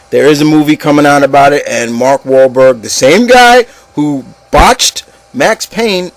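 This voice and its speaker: A man's voice: mid-range voice, no echo, poor audio. A man hosting an opinion show, chatting informally about movies and addressing the listeners in a casual, opinionated way.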